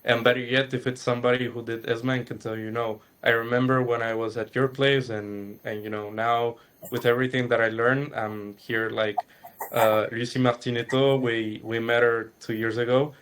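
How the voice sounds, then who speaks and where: A man adds his view during an online school webinar. He speaks at a middle pitch with very little rise and fall, earnest and a little halting. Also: little pitch movement, fairly bright tone. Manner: earnest, repeats words when starting. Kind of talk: webinar discussion.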